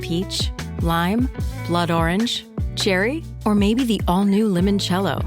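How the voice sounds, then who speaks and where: A woman's voice: mid-range voice, slow pace. A woman reading a scripted advertisement as its announcer. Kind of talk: scripted ad read. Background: guitar music.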